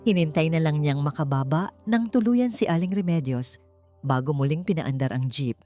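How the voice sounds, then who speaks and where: A woman with a Filipino accent narrating a story in a measured, dramatic, suspenseful audiobook style. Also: low voice, animated, mellow, faint music behind.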